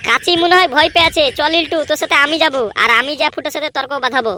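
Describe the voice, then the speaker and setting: A woman acting as a brash little cartoon boy. The voice is sharp and high, cocky and needling. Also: rapid-fire. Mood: insistent. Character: cocky, taunting small boy spoiling for fights. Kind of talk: amateur comedy cartoon dub.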